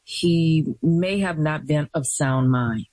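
A woman hosting a call-in radio talk show, speaking in an affirming, unhurried way. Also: low voice, darkish tone, no echo.

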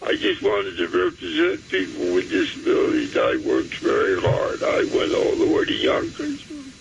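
A man's voice: high-pitched, wildly swooping pitch, moderate pace, gravelly. Older man calling in on a phone line. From New York area. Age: 70s. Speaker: caller. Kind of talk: call-in chat on a radio show. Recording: dull phone line with faint noise.

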